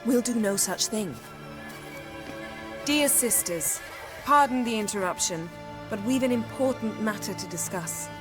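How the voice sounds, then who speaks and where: A woman's voice: medium pitch, animated, unhurried, long pauses, lightly acted. A woman playing an imperious sorceress in a video game, clipped, commanding and self-assured. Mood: insistent. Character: brisk, haughty, imperious sorceress. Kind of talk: video game cutscene acting. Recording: music under the voice.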